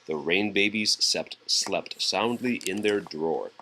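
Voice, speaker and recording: A man's voice: low voice, measured pace, bright, forceful tone, a little hiss or hum, low-quality recording. A man reading a children's storybook aloud to a child, giving expression to the characters.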